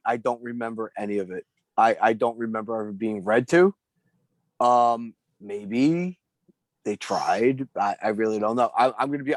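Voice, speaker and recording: A man's voice: lowish voice, no room sound. Middle-aged man, dry close-miked sound. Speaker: podcast co-host. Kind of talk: casual comedic podcast chat.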